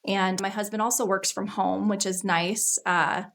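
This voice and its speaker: A woman's voice: mid-range voice, fairly even intonation, unhurried, creaky, fairly bright tone. A woman from Minnesota with an American accent, talking casually as a podcast guest. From Minnesota, United States. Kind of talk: casual conversational interview.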